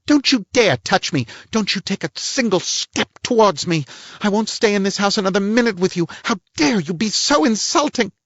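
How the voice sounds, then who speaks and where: A man doing a haughty middle-aged lady with a high, shrill, affected voice, all huffy gentility and wounded pride. The sound is dry and a bit dull, like an old lo-fi reading. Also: expressive, normal speed, fairly bright tone, dry recording, heavily acted. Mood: shrill outrage. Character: haughty prim middle-aged woman, indignant and theatrical.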